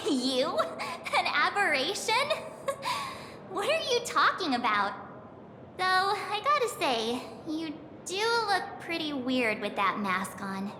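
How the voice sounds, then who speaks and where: An actress voicing a young girl adventurer in a video game, guileless and expressive, with hesitant pauses. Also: sits high, noisy background, full character voice. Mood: amused. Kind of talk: scripted video game voice acting. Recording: roomy reverb over music.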